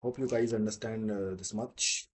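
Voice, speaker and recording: A man teaching in a software demo, explaining step by step in a halting, repetitive way. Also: on the low side, steady tone. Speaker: software instructor.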